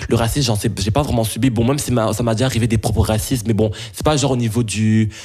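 Young guy, mid pitch, keeps stopping to find his words, interview answers. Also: fairly even intonation, darkish tone, breathy edge. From Belgium. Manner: halting, searching for words. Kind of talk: casual interview talk.

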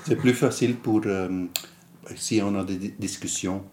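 A man chatting casually in a halting way with people talking in the background. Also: fairly low pitch, steady tone, a little mellow, slight background noise. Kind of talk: casual chat. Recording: crowd chatter behind.

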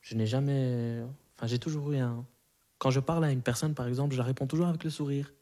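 A man's voice: mid-range voice, fairly even intonation, fast talker, mellow. A man in his twenties, a native Arabic speaker, answering interview questions casually with many fillers and restarts. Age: 20s.

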